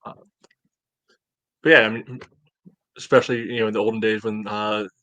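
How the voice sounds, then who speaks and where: A man co-hosting a sports podcast, talking casually in a halting, conversational way. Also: lowish voice, level tone, a bit bright, no room sound, highs cut off. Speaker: podcast co-host.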